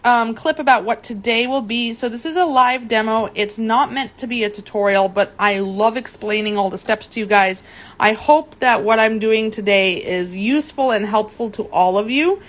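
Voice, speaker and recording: A woman's voice: a little high, moderate pace. Chatty young woman hosting a live craft demo. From Germany. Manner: chatty, greets viewers by name, often stumbles on words. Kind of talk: casual live demo chat. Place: indoor craft table.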